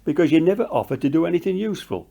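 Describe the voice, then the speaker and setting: A man's voice: fairly high pitch, expressive, rapid-fire, creaky, mellow, a little hiss or hum. A man playing a cheeky, blunt old man, mischievous and grumbling, in an audio drama. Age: older. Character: mischievous, grumbling old man who dodges his carer.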